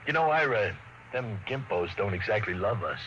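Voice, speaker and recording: Forceful, brash tough guy, old radio. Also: on the low side, expressive, normal speed. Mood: brash, scornful. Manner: rough, slangy, wisecracking. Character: brash, tough male expedition henchman, slangy and scornful. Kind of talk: mid-century radio drama acting. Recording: dull old radio recording, faint noise.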